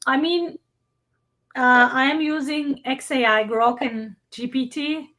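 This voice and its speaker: High-pitched woman on a video call, stops and restarts a lot, searches for words. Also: bright, forceful tone. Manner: halting, searching for words in a second language. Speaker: workshop presenter. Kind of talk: answering questions in an online Q&A.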